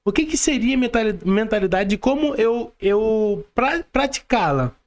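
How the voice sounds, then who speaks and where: A man's voice: high-pitched, big pitch swings, moderate pace. A Brazilian man hosting a casual podcast interview, informal, repeating words for emphasis and often asking questions. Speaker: podcast host.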